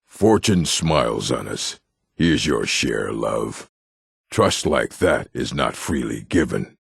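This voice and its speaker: Very deep, gravelly orc warrior. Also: male voice, very animated, slow pace, no room sound, clean studio sound, heavily acted. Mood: solemn, matter-of-fact. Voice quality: gravelly, husky. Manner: terse, blunt, solemn delivery. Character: gruff, proud adult male orc warrior. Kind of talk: fantasy video game character lines.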